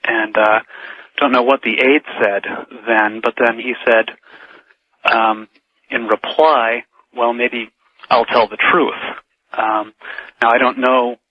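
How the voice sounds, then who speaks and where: A man calling a radio show by telephone, talking haltingly with many filled pauses. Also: mid-range voice, little pitch movement, dry recording. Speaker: radio phone-in caller. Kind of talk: casual phone-in comment. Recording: weak dull telephone line on radio.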